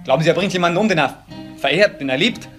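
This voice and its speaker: A protective brother played by a man in a crime radio drama, with a fairly high voice and a quick tongue. He argues indignantly and defiantly, with music underneath. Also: fairly bright tone, lightly acted. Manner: argumentative, indignant, answering for others. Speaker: actor in a crime radio play.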